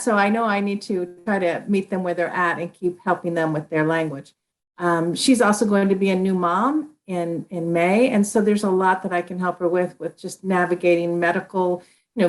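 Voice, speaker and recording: Earnest woman guest. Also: medium pitch. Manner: earnest, with frequent restarts and filler. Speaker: interview guest, author. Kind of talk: conversational interview.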